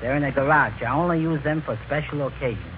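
A man's voice: medium pitch, fast talker. A man as a guest on an old radio show, giving plain-spoken scripted answers in an interview. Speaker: radio show guest, lunch wagon operator. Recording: tinny, noisy vintage radio broadcast.

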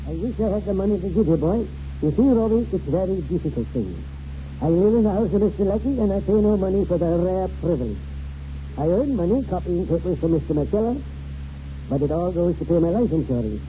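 A man's voice: high voice, lively intonation, brisk, mellow, slight background noise. An actor playing a wry, stubborn and protective old grandfather in 1940s radio drama. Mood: wry, fond. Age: older. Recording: muffled 1940s radio broadcast with music.

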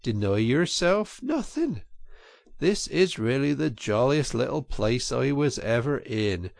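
A man voicing a cheery storybook water rat, bossy and hearty, lively swinging pitch, gravelly edge. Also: takes it slowly, no room sound, studio quality, full character voice. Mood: reproachful. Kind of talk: storybook reading.

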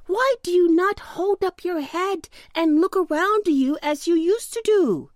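A woman's voice: extremely high pitch, a little slow, no room sound, pitched above the performer's own voice. A woman voicing merry, reassuring little sunbeams and breezes in a children's storybook, in a singsong, coaxing manner. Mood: concerned.

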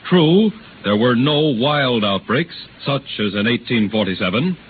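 A man narrating frontier history formally and gravely in a scratchy 1930s radio drama. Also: medium pitch, lively intonation, unhurried, narrow, tinny audio, lightly acted.

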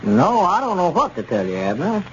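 A man's voice: sits high, wildly swooping pitch, normal speed. An actor playing a sensible, skeptical small-town man, plain-spoken and wry, in a 1930s radio serial. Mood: skeptical, amused. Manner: plain-spoken, dryly doubtful. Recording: dull, noisy 1930s radio recording.

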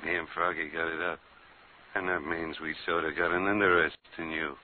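Man acting a deep-voiced gangster, vintage radio. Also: steady tone, a little fast, phone-quality sound, natural voice. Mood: threatening. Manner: threatening, slangy tough-guy talk. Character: menacing, impatient male gangster with a gun. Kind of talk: 1950s radio crime drama acting. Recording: hissy, band-limited old radio broadcast.

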